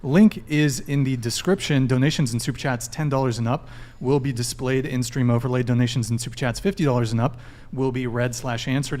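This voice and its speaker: A man hosting a live dating podcast, running through announcements in a brisk, joking way. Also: darkish tone. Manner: brisk, joking sales-pitch delivery. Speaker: podcast host.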